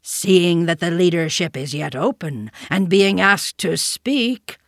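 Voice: medium pitch, lively intonation, no room sound, clean studio sound. A storybook reader voicing a menacing, bullying male tiger who roars his words. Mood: smug. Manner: loud, roaring, drawn-out.

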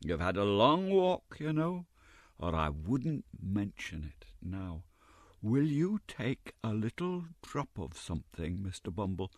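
A man voicing a fawning, scheming middle-aged woman nurse with a shrill, gushing, wheedling manner. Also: very animated, slow, dry recording, clean studio sound, heavily acted.

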